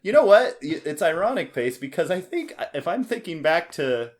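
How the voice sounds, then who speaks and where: An American man in his forties chatting casually as a podcast host, jokey and given to excitable storytelling. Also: on the high side, normal speed. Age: 40s. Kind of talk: casual board game banter.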